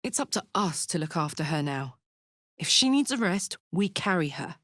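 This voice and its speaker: A woman's voice: lowish voice, big pitch swings, moderate pace, fairly bright tone, dry recording, clean studio sound. A woman playing a brash, big-hearted warrior in a fantasy video game, speaking bluntly, earnestly and expressively. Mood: protective, solemn.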